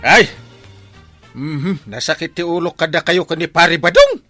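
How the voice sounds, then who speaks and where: A man's voice: on the high side, expressive, normal speed, pushed and bright, soft background music. A man with an Ilocano Filipino accent playing an exasperated village captain in a comedy drama.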